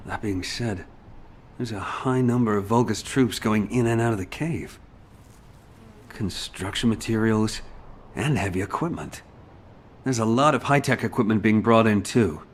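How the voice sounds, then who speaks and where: A somewhat low-voiced military leader in a video game. He is measured, analytical and businesslike, with faint noise in the background. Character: analytical, decisive male military field leader. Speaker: mission briefer.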